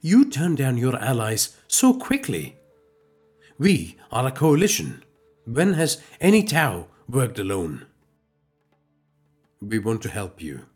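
A man playing a calm, wise alien elder in a science fiction audio drama, speaking in a measured, formal, philosophical way. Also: medium pitch, husky, soft background music, full character voice. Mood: earnest.

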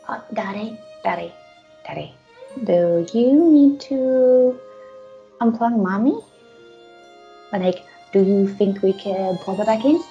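A woman's voice: mid-range voice, animated, slow pace, vocal fry, long pauses, warm tone. A woman playing a sickly, poor young boy who stammers and rambles naively in a comedic audio play. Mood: pleading, earnest. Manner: stammering, repeats words, rambling. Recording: dull lo-fi audio with music.

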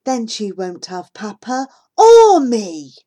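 A woman's voice: a little high, huge pitch swings, slow pace, mellow, voice pushed up, heavily acted. A woman voicing an anxious, apologetic young boy. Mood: pleading. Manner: hesitant, apologetic. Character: young boy, anxious, guilty loyal friend.

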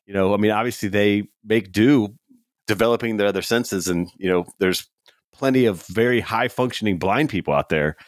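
A man's voice: lowish voice, normal speed, dry recording. Laid back guy on a podcast, lots of you know, dry mic. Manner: easygoing, with frequent "you know". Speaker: podcast co-host. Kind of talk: casual chat.

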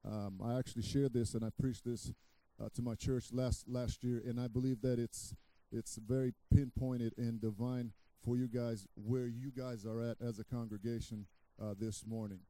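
A middle-aged man from Brazil, a guest pastor preaching a sermon, halting with restarts, then loud and emphatic. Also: medium pitch, little pitch movement, no echo.

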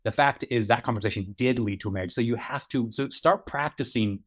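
A man's voice: medium pitch, a little fast. A man co-hosting a podcast, giving earnest, encouraging advice in a casual chat and repeating points for emphasis. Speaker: podcast co-host.

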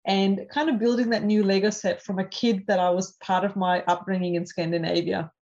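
A woman in her forties from Denmark, a native Danish speaker, calmly walking through her career in numbered steps as a podcast guest. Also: mid-range voice, brisk. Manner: methodical, lays points out in numbered steps. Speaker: podcast guest, cybersecurity professional. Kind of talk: conversational interview. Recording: slightly dull lo-fi audio.

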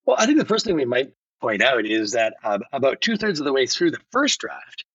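A man's voice: medium pitch, sing-song, brisk, a bit bright, breathy edge, no room sound, highs cut off. A man speaking conversationally as a guest in a podcast interview.

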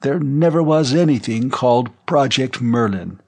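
A man's voice: mid-range voice, animated, moderate pace, warm tone. A man voicing a frail, very old retired general in an audiobook, issuing formal, emphatic denials with haughty dismissal. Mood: dismissive, accusing. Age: over 100. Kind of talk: audiobook character voice.

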